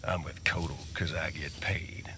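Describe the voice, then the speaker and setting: An actor playing a cocky gunslinger mercenary with a Southern American accent and a wry drawl. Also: male voice, rumbling low, fairly even intonation, measured pace, sharp tone, full character voice. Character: cocky gunslinger mercenary cowboy, amused and dangerous. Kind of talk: fighting game character dialogue. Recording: clear game background noise.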